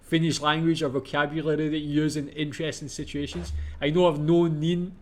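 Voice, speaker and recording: A man's voice: on the high side, a little fast. A man from the UK, casually commenting on a comedy clip as a reaction video host. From United Kingdom. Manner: relaxed, chatty, laughs along.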